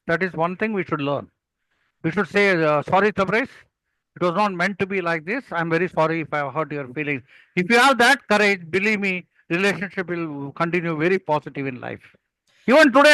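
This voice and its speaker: Older male, South Indian accent, Malayalam native; bright, high voice, didactic conversational storyteller, dry sound. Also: lively intonation, a little fast, dry recording, poor audio. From Kerala, India. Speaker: guest, experienced club speaker.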